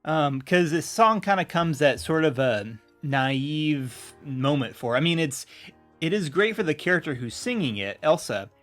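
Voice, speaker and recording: An American man co-hosting a casual podcast, explaining things in a halting, rambling way and often correcting himself. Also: a little high, sing-song, fairly bright tone, soft background music. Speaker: podcast co-host.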